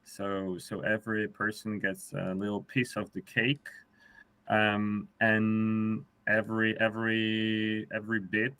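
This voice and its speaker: A man's voice: on the low side, completely flat delivery, takes it slowly, pushed and bright, clear, resonant tone, no room sound. A man from Poland, explaining a project on a live video call, hesitant with many fillers and restarts. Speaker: designer on a live panel. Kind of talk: casual explanatory briefing.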